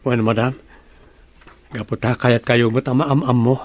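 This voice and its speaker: A man's voice: medium pitch, fairly even intonation, moderate pace, lightly acted. A man acting a humble, bashful young farmer in love, in a radio-style drama. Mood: shy, respectful.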